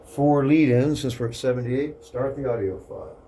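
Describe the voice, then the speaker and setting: A man giving a casual, matter-of-fact hands-on demonstration, narrating each step aloud in an unhurried way. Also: mid-range voice. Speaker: record cutting demonstrator. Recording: clear background noise.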